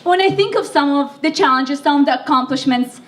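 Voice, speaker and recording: A woman's voice: high-pitched, a little fast, noisy background. Young woman from libya giving a speech, kinda sick, lots of ums, sounds far from the mic. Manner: chatty, self-deprecating, with fillers. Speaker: speaker. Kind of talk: speech to an audience.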